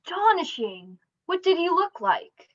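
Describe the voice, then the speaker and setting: A woman's voice: very high voice, sing-song, measured pace, fairly bright tone, full character voice. A young woman acting in a radio play as an earnest, incredulous interviewer questioning a witness, over a video call. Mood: fascinated. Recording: dull video call audio.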